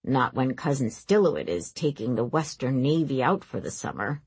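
A woman voicing an imperious, controlling young nobleman in a measured, formal manner for an audiobook. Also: rumbling low, very animated, a little mellow, slightly rough, dry recording, voice pushed down, heavily acted. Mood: confiding, tense.

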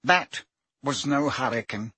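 A man putting on a jittery wizard minister whose voice climbs high and swings wildly as he defends himself. The tone is bright and husky, and he is always on the back foot. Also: very animated, dry recording, full character voice. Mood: miserable. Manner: agitated, rapid and defensive. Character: flustered, anxious male wizard minister.

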